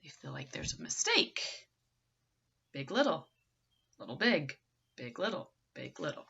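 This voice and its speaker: A woman's voice: low-pitched, big pitch swings, a little slow, vocal fry, pushed and bright, husky, muffled recording. A woman reading a children's picture book aloud expressively and theatrically, engaging young listeners.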